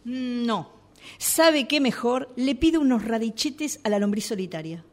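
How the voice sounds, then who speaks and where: A woman plays a genteel Argentine lady out to dine in a radio comedy. Her voice is fairly high, affected and picky, and she orders decisively. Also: lively intonation, a little slow. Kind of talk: comic radio theatre acting.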